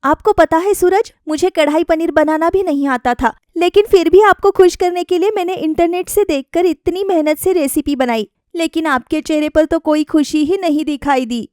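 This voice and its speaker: Lady doing a young daughter-in-law, high voice, earnest, plaintive, eager to please. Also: moderate pace, few pauses, a little mellow, dry recording, studio quality. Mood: hurt, plaintive.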